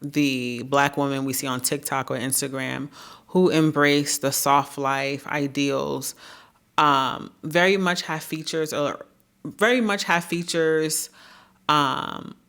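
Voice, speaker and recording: Deep-voiced woman, earnest and measured. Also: steady tone, fairly bright tone, clean tone. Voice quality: naturally deep voice. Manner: earnest, measured, often tags sentences with "right?". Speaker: YouTube creator. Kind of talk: reflective talking-head commentary.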